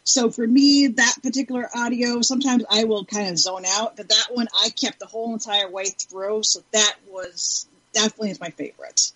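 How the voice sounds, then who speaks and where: A woman's voice: fairly high pitch, fairly quick, hardly any pauses, over a noisy crowd, low-quality recording. A woman speaking as a panelist in a casual podcast chat.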